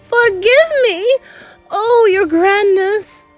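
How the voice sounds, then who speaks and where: A woman's voice: extremely high pitch, very slow, no room sound, soft background music, voice pushed up, exaggerated voice. A woman voicing a timid, wretched witch in a storybook, crying and pleading fearfully for mercy. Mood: desperate begging. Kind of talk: storybook character voice.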